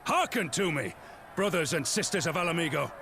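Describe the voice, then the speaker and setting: Stately, swooping rebel orator. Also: male voice, on the high side, expressive, brisk, pushed and bright, a little hiss or hum. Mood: impassioned, rallying. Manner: stately, formal, impassioned rhetorical delivery. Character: solemn, commanding male resistance leader rallying an angry crowd. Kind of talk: dramatic oratory in video game cutscene.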